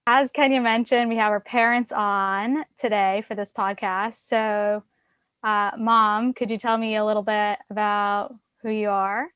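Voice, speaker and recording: A woman's voice: mid-range voice, flat delivery, measured pace, a bit bright, smooth, no echo. A young woman co-host casually asking her parent questions. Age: young adult. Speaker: podcast co-host.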